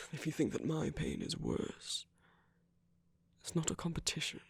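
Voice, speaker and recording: A man performs a villain in a romantic ASMR roleplay, tender yet in command. His voice is slightly high for a man, breathy and full of creak, often sinking into whispers. He speaks in gentle, measured phrases, close and dry. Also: wildly swooping pitch, no room sound, heavily acted. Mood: tender, reassuring. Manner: slow, gentle, measured, with deliberate pauses.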